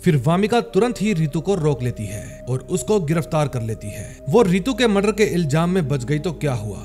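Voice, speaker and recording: A man's voice: fairly high pitch, darkish tone. A man reading a scripted story recap as a narrator, with music playing behind him. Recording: music under the voice. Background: background music.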